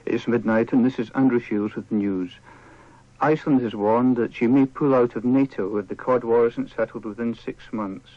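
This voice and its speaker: A man's voice: mid-range voice, fairly even intonation, moderate pace, dry recording, faint music behind. A British man reading the radio news formally. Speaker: radio newsreader. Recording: dull, dry old radio broadcast.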